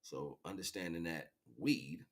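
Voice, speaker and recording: Low-pitched man, rambling and slangy livestream host. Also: measured pace. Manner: rambling, slangy, repeats phrases and checks in with listener. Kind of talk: informal livestream monologue.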